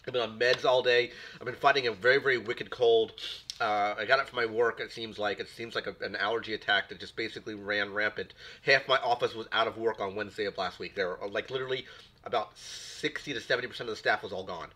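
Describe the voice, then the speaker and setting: A man's voice: lowish voice, fairly even intonation, quick pace, sharp tone. Man with a cold rambling on stream. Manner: rambling, repeats words, greets chat viewers. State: recovering from a cold. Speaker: stream host. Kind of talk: casual live-stream chat.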